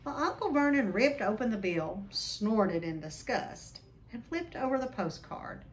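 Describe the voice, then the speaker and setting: A woman reading a children's novel aloud as an expressive storyteller. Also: fairly high pitch, a little slow, rough recording.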